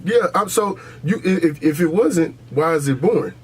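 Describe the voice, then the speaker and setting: A man's voice: a little high, lively intonation, moderate pace. Brash man podcasting over background noise. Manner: brash, opinionated, profane trash talk. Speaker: podcast host. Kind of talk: casual, heated sports and culture debate.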